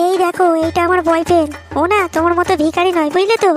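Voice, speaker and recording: Casting: fickle, snooty young girlfriend for comic animation played by a woman, piercingly high, music behind. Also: steady tone, rapid-fire. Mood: scornful. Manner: haughty, taunting. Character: snobbish, fickle young girlfriend, scornful then sugary. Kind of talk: comic cartoon dialogue.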